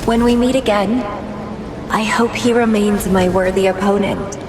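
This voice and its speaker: An actress playing a brooding, intense young woman warrior in a video game, delivering a deliberate, introspective, melancholy monologue. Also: medium pitch, fairly even intonation, background noise, natural voice. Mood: quietly resolute. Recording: echoey long reverb, loud music. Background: loud game soundtrack music.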